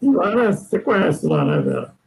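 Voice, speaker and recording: A man's voice: sits high, wildly swooping pitch, normal speed, vocal fry, poor audio. An older man from Brazil telling sailing stories in a rambling, casual way, tagging sentences with "né?" and restarting often. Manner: rambling, frequent "né?" tags and restarts. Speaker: guest sailor. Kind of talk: casual storytelling chat.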